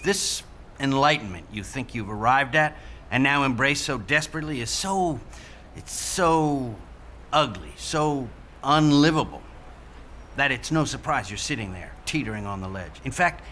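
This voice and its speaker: An actor playing an earnest New York cop talking down a jumper in an audio drama, plain-spoken and insistent. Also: male voice, mid-range voice, animated, a little slow, sharp tone. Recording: faint background noise.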